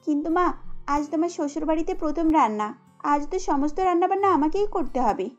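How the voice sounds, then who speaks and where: Woman as a high-voiced, gentle young bride. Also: lively intonation, fairly quick, lo-fi sound, soft background music. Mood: polite. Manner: polite, tender, earnest. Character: gentle, grateful young bride, modest and emotional.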